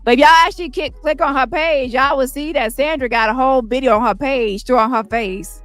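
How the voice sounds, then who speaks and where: A woman streamer ranting with heavy profanity, roasting critics with quick insults in a squeaky voice. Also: fairly bright tone, soft background music. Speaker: commentary streamer. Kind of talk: ranting commentary.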